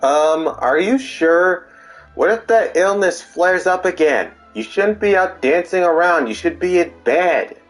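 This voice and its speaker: A woman playing a game and commenting casually on the dialogue in a halting, repetitive way. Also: on the low side, animated, soft background music.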